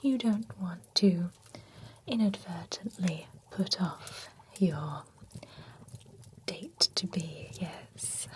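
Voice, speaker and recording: Chatty female vampire spa groomer , whispered by a woman, slow and low, polite salon patter. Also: noisy background. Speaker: ASMR roleplay performer. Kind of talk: whispered ASMR roleplay.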